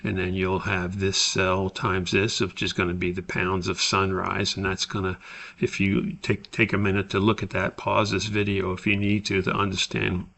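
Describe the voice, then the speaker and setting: A man giving an unscripted, step-by-step tutorial as an instructor, explaining in a halting, methodical way. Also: sits low, little pitch movement, creaky, fairly bright tone. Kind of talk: screen-recorded spreadsheet tutorial, unscripted explanation.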